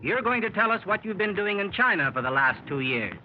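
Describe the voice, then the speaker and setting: Here is a keen male member of a gentlemen's club in a 1930s radio drama. He breaks in eagerly, with a fairly high voice that moves up and down a lot. The sound is muffled and carries a faint hiss. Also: big pitch swings, brisk. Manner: keen, interjecting questions.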